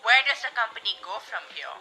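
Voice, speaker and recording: A woman's voice: mid-range voice, lively intonation, brisk, bright, forceful tone, soft background music. A young woman acting in a radio drama as an inquisitive, dramatic 1920s newspaper reporter. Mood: eager, questioning. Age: teenager.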